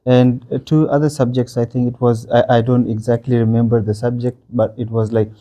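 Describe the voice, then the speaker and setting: A man from Afghanistan whose native tongue is Pashto, talking in an interview. His voice is very dark and mellow at a middling pitch, and he pauses often with fillers and restarts as he recalls things. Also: little pitch movement. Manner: hesitant, many fillers and restarts. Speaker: interview guest. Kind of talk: casual interview answers.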